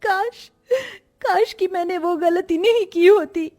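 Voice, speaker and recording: A woman playing an innocent, timid young woman with a girlish manner in an animated story. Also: sits high, moderate pace, soft background music. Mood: regretful.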